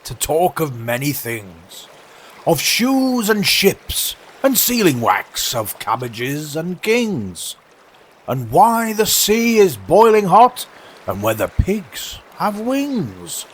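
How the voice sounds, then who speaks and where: Man voicing a slow, pompous storybook walrus. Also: on the high side, huge pitch swings, slight background noise, voice pushed up, exaggerated voice. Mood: grand, self-satisfied. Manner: slow, grandly drawn-out delivery. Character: pompous, unctuous, falsely sorrowful male walrus.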